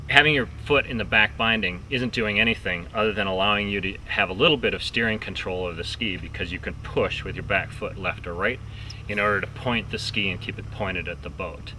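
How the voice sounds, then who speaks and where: A man's voice: lowish voice, bright, forceful tone. A man teaching water ski technique on a lakeside dock, explaining step by step as he shows the ski. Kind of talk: outdoor instructional demo. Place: outdoors on a dock by a lake. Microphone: distant camera mic. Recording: distant outdoor mic with light noise.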